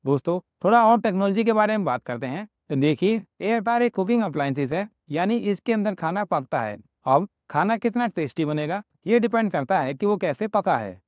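A man in his thirties with an Indian accent, presenting a product review and then a recipe walkthrough in an upbeat sales pitch. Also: lively intonation, a little fast, few pauses, dry recording. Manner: upbeat salesy pitch, addresses viewers as friends. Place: small home office room indoors. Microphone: lapel mic.

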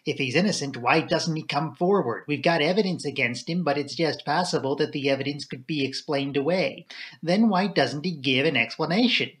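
A man's voice: on the high side, normal speed, fairly bright tone, natural voice. A man voicing a grave, fussy local police inspector in an audiobook, plain-spoken, blunt and stubborn. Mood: grave, troubled. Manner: blunt, matter-of-fact, stubborn.

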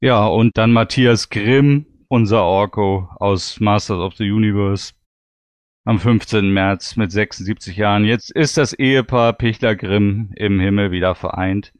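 A man's voice: on the low side, a little slow, smooth, no room sound. A man talking casually and reminiscing as a panelist on a podcast.

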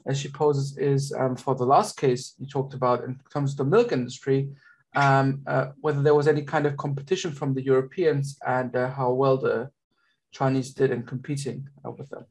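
A man's voice: mid-range voice, flat delivery, moderate pace, a little mellow, husky, rough recording. A young man moderating a webinar, reading out audience questions with many hesitations, over a video call.

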